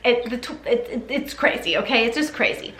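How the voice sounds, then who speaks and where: A woman's voice: on the high side, lively intonation, fairly quick, a bit bright. A woman giving a casual, chatty vlog update as a mother, sometimes slipping into sing-song baby talk. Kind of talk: casual chat to camera. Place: indoor room at home with ceiling fan. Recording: roomy echo, faint background noise.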